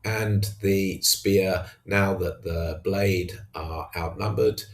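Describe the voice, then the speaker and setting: Male wargame commentator, unscripted and hesitant, low pitch, unhurried pace. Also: fairly even intonation, vocal fry. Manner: hesitant, halting delivery. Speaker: tabletop wargamer narrating own battle report. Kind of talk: unscripted match recap commentary.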